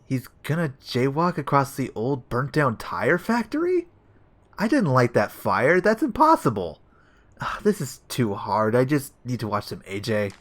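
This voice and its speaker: Man as dim male detective, very lively intonation, befuddled, whiny, earnest, comedy audio drama. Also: mid-range voice, unhurried. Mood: befuddled, defensive. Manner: befuddled, whiny, literal-minded. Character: dim, easily confused male police detective, earnest and bumbling. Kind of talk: comedic audio play acting.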